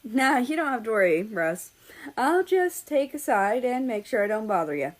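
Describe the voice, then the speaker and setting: A woman's voice: a little high, big pitch swings, moderate pace, voice pushed up. A woman voicing an easygoing, tired and teasing male driver on a road trip in a story reading. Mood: playful. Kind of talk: character dialogue in a story reading.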